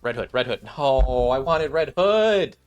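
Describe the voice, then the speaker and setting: A man's voice: medium pitch, animated, measured pace, smooth, highs cut off, faint music behind. A man giving casual, off-the-cuff commentary on a game, rambling and thinking aloud as he plays. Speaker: gaming video creator.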